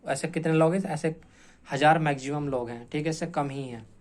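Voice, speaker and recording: Guy teaching exam prep, slightly high voice, informal, repeats himself to drive points home. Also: fairly quick. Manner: repetitive, emphatic, checking in with frequent tag phrases. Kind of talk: informal explanatory lecture to students.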